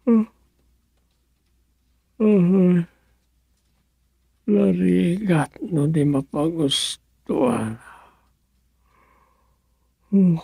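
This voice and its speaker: An actor in an Ilocano radio comedy drama playing a grumbling, sarcastic village councillor with a rough voice. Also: medium pitch, dark tone, dry recording, full character voice.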